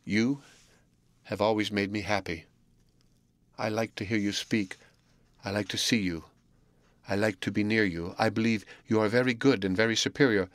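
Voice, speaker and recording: A man reading aloud voices a shy, earnest young woman pupil, speaking softly and slowly in a raised tone. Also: medium pitch, no room sound, pitched above the performer's own voice, lightly acted. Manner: soft, subdued and slow.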